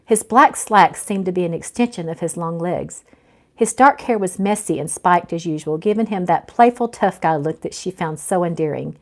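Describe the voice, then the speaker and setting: A woman author reading her novel aloud in an animated storytelling style. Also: lowish voice, normal speed. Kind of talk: animated audiobook-style narration.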